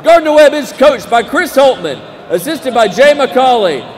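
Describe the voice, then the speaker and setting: A young American man working as a public address announcer at a basketball game, with a booming showman delivery and drawn-out names. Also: very animated. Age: young adult. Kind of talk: arena sports announcing. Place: courtside in an indoor basketball arena. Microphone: desk microphone on a short stand. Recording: echoing arena PA with loud crowd noise. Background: crowd noise and arena ambience.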